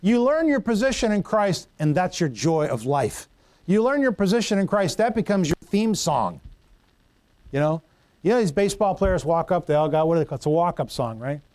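A man's voice: high voice, very animated, brisk, a little mellow, no echo. A middle-aged man preaching a sermon in a conversational, folksy way, stumbling over Greek words and telling homely stories. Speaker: pastor.

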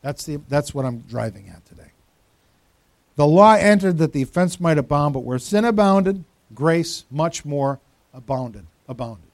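A man's voice: fairly high pitch, expressive, unhurried, no echo. A pastor in his fifties giving a conversational sermon, teasing members of the congregation by name. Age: 50s. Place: church.

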